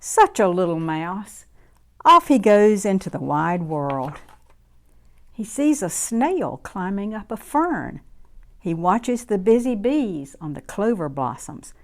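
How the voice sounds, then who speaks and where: A woman reading a picture book aloud to young children in an expressive, deliberate, sing-song way. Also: mid-range voice. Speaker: children's story reader.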